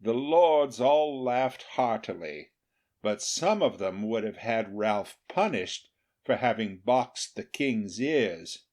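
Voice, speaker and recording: A man narrating a scripted storybook tale as an audiobook reader, deliberate and expressive. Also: mid-range voice.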